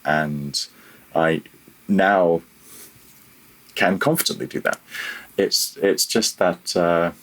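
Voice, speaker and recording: Very low-voiced man, halting and candid. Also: fairly bright tone, low-quality recording. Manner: halting, self-correcting, candid, chuckles at himself. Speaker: podcast guest, freelance audio developer. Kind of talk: casual interview conversation.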